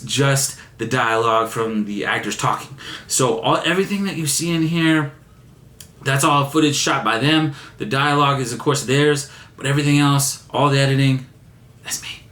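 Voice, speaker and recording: Young male vlogger, energetic and casual, a little raspy, echoey room sound. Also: mid-range voice. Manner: excited, repeats words, says man a lot. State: still a bit raspy after laryngitis. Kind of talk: casual vlog chat to camera.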